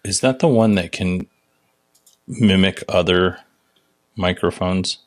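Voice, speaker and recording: A man with a very low voice speaks slowly, chiming in on a podcast with short, easygoing replies and questions. The sound is dry and close. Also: no room sound. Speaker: podcast co-host. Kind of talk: casual chat.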